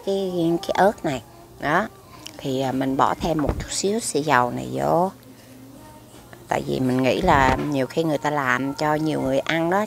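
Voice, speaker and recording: Low, gravelly Southern Vietnamese woman. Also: a little hiss or hum. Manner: chatty, many fillers, repeats words. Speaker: food vlogger. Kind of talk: casual food review to camera. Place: restaurant table by a window, indoors. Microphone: camera across the table.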